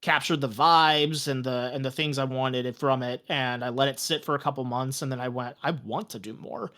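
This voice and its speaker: A man speaking casually as a podcast guest, earnest and reflective, with halting phrasing. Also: mid-range voice, steady tone, a bit bright. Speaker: podcast guest, tabletop game master and show creator. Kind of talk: casual conversational interview.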